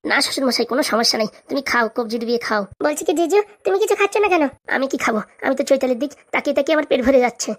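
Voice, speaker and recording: Excitable comic villager for cartoon played by a woman: high-pitched, extremely quick, rural Bengali accent, bantering. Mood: teasing. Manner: colloquial, bantering, exclamatory. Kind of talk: comedy cartoon dialogue.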